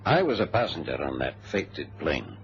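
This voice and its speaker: A passenger narrating, low dramatic voice, swoops around a lot, old muffled radio drama. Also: male voice, very animated, moderate pace. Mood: grave recounting. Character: male passenger gravely recounting a hijacked flight. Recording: muffled old radio recording, faint noise.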